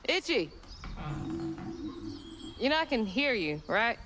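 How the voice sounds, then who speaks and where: An earnest, compassionate young heroine speaks in a video game. Her voice is fairly high and very animated, conversational and persistent. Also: takes it slowly, background noise. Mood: insistent.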